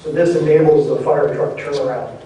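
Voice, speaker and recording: A man's voice: fairly high pitch, fairly quick, dark tone. A man presenting a housing development at a public meeting, explaining patiently and often hedging with "kind of". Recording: echoey hall from far off, faint noise.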